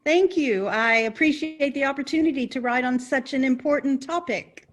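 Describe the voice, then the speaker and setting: High-pitched actress as eager reporter. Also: female voice, normal speed, close to an everyday voice. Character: eager, polite young woman reporter. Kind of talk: stagey comedy play acting.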